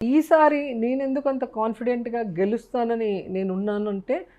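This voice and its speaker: A woman's voice: fairly high pitch, fairly even intonation, moderate pace, muffled recording. A woman talking as a politician for her party in a political interview. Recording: noisy interview clip audio.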